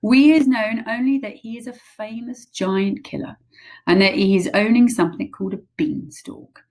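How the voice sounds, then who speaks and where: A woman voicing a big, gentle male giant who speaks in hushed tones with oddly mangled grammar. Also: fairly high pitch, normal speed, voice pushed up.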